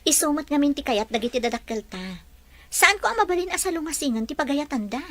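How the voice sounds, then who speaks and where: A woman's voice: high-pitched, animated, fast, no echo. A woman playing an earnest young woman in love, speaking with an Ilocano accent. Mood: questioning. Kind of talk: audio drama acting.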